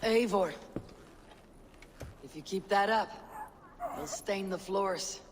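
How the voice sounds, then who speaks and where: Dry-witted Viking fighter, video game, composed, high male register, long reverb. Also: slow pace. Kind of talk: video game cutscene acting. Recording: long hall reverb, faint ambient noise.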